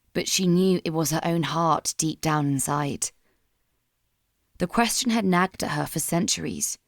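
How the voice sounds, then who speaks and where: A woman narrator reads dramatic fiction in a somber, measured way. Her voice sits a little low, and the recording is dry and close to room-free. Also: no echo. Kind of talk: dramatic scripted story narration.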